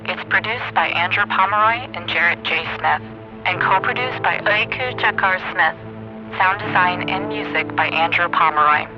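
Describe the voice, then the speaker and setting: A man reading the closing credits of an audio drama in an even, measured way. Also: high-pitched, lo-fi sound, over music. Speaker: credits announcer. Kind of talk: scripted credits read.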